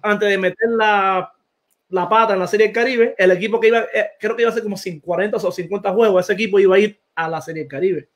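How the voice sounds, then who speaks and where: A man co-hosts a baseball show. His voice is high, and his questions come out halting, with many restarts. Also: fairly even intonation. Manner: stammering, asks questions with restarts. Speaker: co-host. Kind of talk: casual interview questions.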